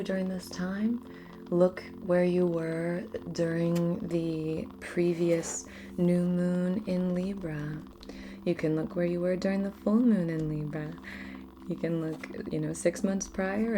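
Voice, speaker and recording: A woman speaking softly as host of a guided spiritual ritual, often slipping into a near-whisper, in a meandering, casual chat. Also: lowish voice, background noise. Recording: music clearly under the voice. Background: music.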